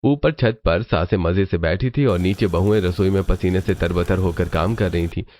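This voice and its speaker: A man's voice: deep voice, normal speed, darkish tone, faint music behind. A man reading scripted story narration.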